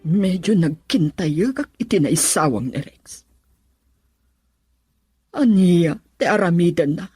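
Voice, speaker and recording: A brooding middle-aged mother in a radio drama. Her voice is low and dark, husky and full of worry. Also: expressive, takes it slowly, long pauses, faint music behind, heavily acted. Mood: anxious. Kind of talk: Ilocano radio drama acting.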